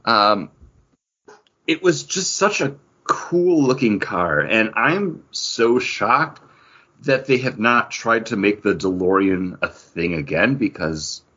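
A man co-hosts a casual pop-culture podcast with geeky enthusiasm. His husky voice swings up and down in a lively way. The audio is a little dull and lo-fi. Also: on the low side, slow. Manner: enthusiastic geeky fan talk. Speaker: podcast co-host.

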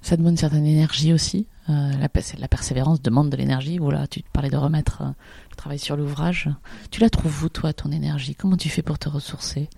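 Gravelly, husky podcast interviewer. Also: male voice, on the high side, fairly even intonation, a little fast, dark tone. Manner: brief, encouraging backchannels and questions. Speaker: podcast host. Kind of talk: interviewer asking questions.

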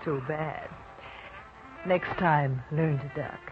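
Cool, coy femme fatale, muffled. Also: female voice, low voice, slow pace. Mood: cool, flirtatious. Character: cool flirtatious blonde woman at a bar. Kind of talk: old-time radio drama dialogue. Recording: dull, muffled old radio recording.

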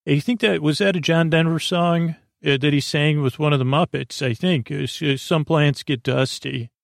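Creaky-voiced man rambling gently off course. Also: a little high, steady tone, no echo, studio quality. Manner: meandering, halting, trailing off and doubling back; goofy, self-deprecating. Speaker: host of a sleep podcast. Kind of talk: rambling bedtime monologue.

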